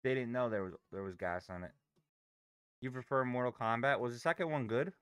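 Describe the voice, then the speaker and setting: A man whose voice is going hoarse, chatting casually with viewers on a live trading stream. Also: mid-range voice, fairly quick, no room sound, studio quality. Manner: chatty, banters with chat while reading charts. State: hoarse, losing his voice.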